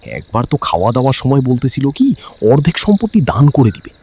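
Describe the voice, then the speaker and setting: Man playing a highly animated scheming stepbrother. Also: brisk, slight background noise. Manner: conspiratorial, bitter. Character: scheming, resentful, greedy younger stepbrother villain. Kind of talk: cartoon villain dialogue.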